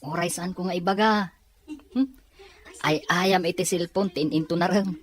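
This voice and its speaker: A woman's voice: lowish voice, fairly even intonation, normal speed, natural voice. A woman with an Ilocano Filipino accent voicing a modest, teasing young scholarship student in a radio drama.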